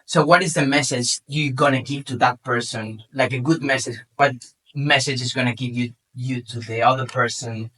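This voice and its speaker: A man speaks slowly and thoughtfully in halting English at a workshop. His voice is bright and crisp. He is caught by a mic some distance away in an indoor room. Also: medium pitch. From Spain. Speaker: workshop participant. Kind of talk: self-introduction at a workshop.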